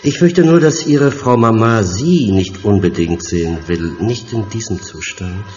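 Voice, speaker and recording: An actor playing a hunchbacked, vengeful mad scientist in a horror audio drama, calm and deep-voiced, taunting with mock courtesy. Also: male voice, unhurried, soft background music, lightly acted. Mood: gloating, taunting. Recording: dull sound in a long echoing hall.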